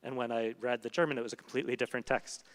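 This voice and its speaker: A man's voice: mid-range voice, steady tone, very fast, slight background noise. A man, a literary translator, talking onstage in a thoughtful, hesitant way with many fillers. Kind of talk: onstage conversation. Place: event hall. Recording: long reverb of a hall.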